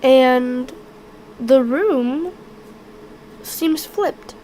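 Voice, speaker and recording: High-voiced, sick woman game master, slow and halting. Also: mellow, a little hiss or hum, rough recording. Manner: halting, throat-clearing. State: sick and losing her voice. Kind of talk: narrating a tabletop game live on stream.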